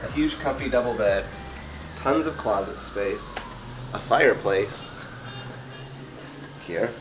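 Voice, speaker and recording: A man co-hosting a travel vlog, hoarse from a cold, narrating in an upbeat, enthusiastic way. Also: mid-range voice, slow pace, music in the background, poor audio. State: hoarse, losing his voice. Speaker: travel vlogger co-host.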